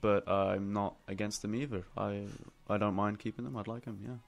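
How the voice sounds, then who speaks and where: Deep, gravelly man musing in casual discussion. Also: fairly even intonation, moderate pace, soft background music. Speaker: panelist.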